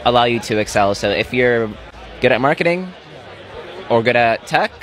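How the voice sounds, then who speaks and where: A man's voice: medium pitch, lively intonation, normal speed, a bit bright, faint music behind, a faint crowd. A man in his twenties giving casual answers in an outdoor interview on a handheld mic. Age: 20s. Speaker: interviewee, tech start-up co-founder. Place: outdoors at a conference.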